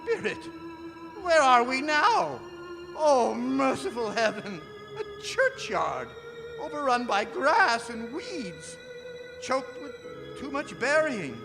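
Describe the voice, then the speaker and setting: Very high-pitched, emotional old miser. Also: male voice, very animated, gravelly, heavily acted. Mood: fearful, dreading. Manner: theatrical, halting, pleading delivery. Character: repentant old miser, anguished, pleading, wildly emotional. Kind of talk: stage radio drama acting. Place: performing arts center stage. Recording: reverberant theatre hall, music underneath.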